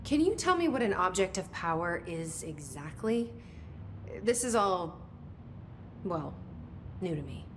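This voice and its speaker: A woman's voice: fairly low pitch, sing-song, a little slow, long pauses, a little hiss or hum. An actress voicing a wary young woman newcomer in a game, asking short, direct questions.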